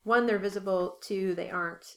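A woman's voice: mid-range voice, fairly even intonation, normal speed. A woman co-hosting a casual podcast chat, her voice scratchy from allergies, laughing easily as she talks. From California. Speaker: podcast co-host.

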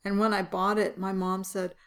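A woman's voice: mid-range voice, moderate pace. A woman co-hosting a casual podcast chat, her voice a little scratchy, talking through details and chuckling now and then. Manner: relaxed, chuckling, describes details. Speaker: podcast co-host.

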